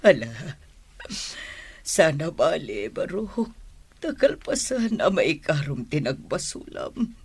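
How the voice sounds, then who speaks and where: A consoling old village aunt in a radio drama. The voice is high and husky, motherly, with slow delivery. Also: big pitch swings, heavily acted. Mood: consoling, sorrowful. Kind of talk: Ilocano radio drama acting.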